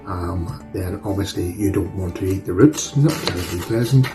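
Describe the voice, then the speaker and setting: Low-voiced Northern Irish man, chatty and folksy. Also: normal speed, over music. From Northern Ireland. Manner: chatty, folksy, self-deprecating. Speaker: cooking channel host. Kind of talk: casual cooking demonstration. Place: home kitchen.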